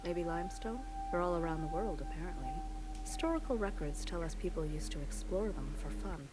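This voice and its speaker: Game role: calm, long-lived woman guide, played by an actress, lowish register, cryptic and curious, music underneath. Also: brisk, lightly acted. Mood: quietly curious. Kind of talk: video game voice acting.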